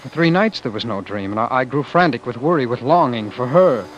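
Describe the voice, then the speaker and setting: An actor playing a meek, dreamy husband who imagines himself a great leader, in earnest, dramatic old-time radio style. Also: male voice, mid-range voice, animated, a little fast, dull audio, slight background noise, natural voice. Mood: brooding, wistful. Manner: earnest, dramatic, brooding, hesitant. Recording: vintage radio broadcast with music behind.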